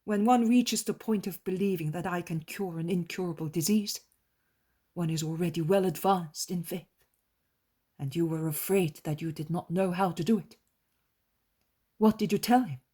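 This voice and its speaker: A woman voicing a calm, grave male master who speaks with quiet authority. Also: lowish voice, moderate pace, long pauses, slightly rough.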